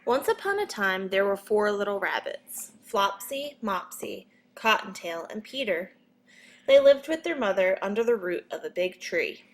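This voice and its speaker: A woman reading a children's story as a narrator, in a measured but expressive storytelling manner. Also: medium pitch. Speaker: storybook reader.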